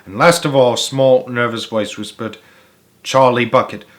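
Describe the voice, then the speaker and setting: Expressive man reading a children's story aloud. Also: medium pitch, normal speed. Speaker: storybook narrator. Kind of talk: expressive read-aloud narration of a children's novel.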